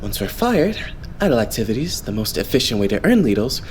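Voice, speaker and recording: A man plays an earnest, devout young idol leading his unit. His intonation moves around a lot as he politely lays out plans with steady optimism, in anime dub style over faint noise. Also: medium pitch, close to an everyday voice. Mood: earnest, serious. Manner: polite, explanatory, measured phrasing. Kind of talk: anime game fan dub acting.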